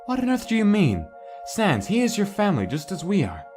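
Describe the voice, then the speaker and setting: An earnest male fire monster voiced by a man speaks formally, with a loyal friend's gentle reproach. His measured phrasing suits a dramatic comic dub, with faint music behind him. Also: high voice, wildly swooping pitch. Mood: pleading, reproachful.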